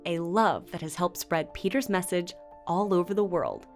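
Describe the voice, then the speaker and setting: Lower-pitched American woman narrator over music. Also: normal speed. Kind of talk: scripted TV feature narration. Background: background music.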